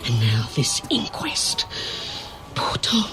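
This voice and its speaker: A woman playing a harried, middle-aged mother in an audio drama, nagging her grown son and protective of her family, with brisk scolding delivery. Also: rumbling low, wildly swooping pitch, whispered, music in the background, exaggerated voice. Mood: exasperated. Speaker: restaurant owner.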